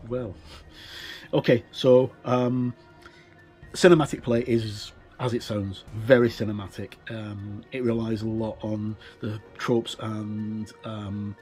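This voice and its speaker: A middle-aged man talks through material in a casual, explanatory way. His voice sits at an average pitch, and he often hesitates as he finds his words. Music plays behind him. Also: a little mellow. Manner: informal, explanatory, halting with frequent hesitations. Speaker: tabletop game reviewer. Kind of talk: casual unscripted walkthrough commentary.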